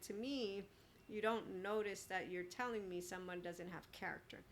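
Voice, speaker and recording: A woman's voice: mid-range voice, moderate pace, a little hiss or hum. A woman in her forties giving an opinionated solo monologue as a podcast host, blunt, emphatic and sarcastic. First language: bilingual with Italian. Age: 40s.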